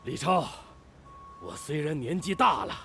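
An actor playing a proud old squad leader at a steel plant in a radio drama, spirited and encouraging. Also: male voice, a little high, big pitch swings, measured pace, vocal fry, noisy background, exaggerated voice. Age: older.

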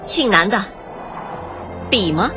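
A woman's voice: medium pitch, animated, slow, highs cut off, over music. A woman playing a proud, defiant young martial artist daughter in a radio drama, with a challenging, clipped and emphatic delivery. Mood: cool, insistent.

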